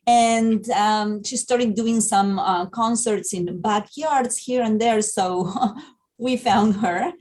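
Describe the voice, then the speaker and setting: Argentine lady talking slow in an interview, lots of ums and restarts. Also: mid-range voice, a little mellow. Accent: Argentine Spanish. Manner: hesitant, many fillers and restarts. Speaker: festival programming manager. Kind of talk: interview, casual explanation.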